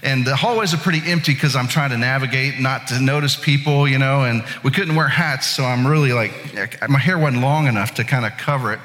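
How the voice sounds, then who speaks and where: A man's voice: mid-range voice, a little fast, few pauses, a bit bright. A man in his late thirties from Indiana, a pastor telling a folksy, self-deprecating story in a casual sermon. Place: church sanctuary. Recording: church hall with long reverb.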